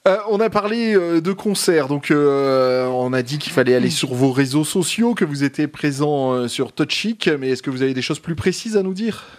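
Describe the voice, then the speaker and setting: A man's voice: fairly high pitch, moderate pace, few pauses. Hesitant male radio host. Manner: relaxed, hesitant with many fillers. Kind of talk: casual radio interview.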